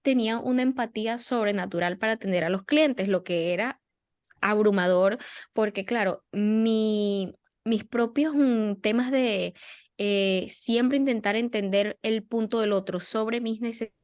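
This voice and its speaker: A woman co-hosting a podcast, telling stories in a lively, casual way with many fillers. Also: mid-range voice, a little slow. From Venezuela. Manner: animated storytelling with many fillers. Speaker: podcast co-host. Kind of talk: casual chat.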